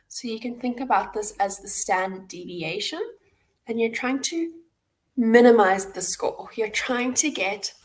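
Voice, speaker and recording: A woman's voice: fairly high pitch, poor audio. A woman with a South African accent hosting an online walkthrough, explaining things informally step by step. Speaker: hackathon host and presenter. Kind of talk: live platform walkthrough, instructional. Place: indoor room, on a livestream webcam.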